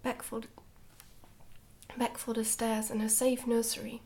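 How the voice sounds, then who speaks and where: A woman reading a children's storybook in a hushed, breathy whisper, gently and carefully, in ASMR style. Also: fairly high pitch, little pitch movement, a little slow. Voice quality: whispery, breathy, soft-spoken. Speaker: ASMR book reader.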